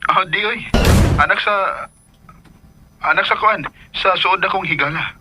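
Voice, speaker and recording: Evasive grown son, highish, extremely bright voice, hesitant, Filipino radio drama. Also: male voice, a little slow, husky, heavily acted.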